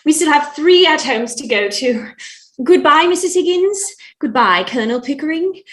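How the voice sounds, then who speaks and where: Woman playing a bright, gushing young society girl. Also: sits high, lively intonation. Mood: polite. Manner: gushing, drawn-out exclamations. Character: gushing, affected young society daughter, eager to be fashionable. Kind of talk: staged reading of a classic stage comedy.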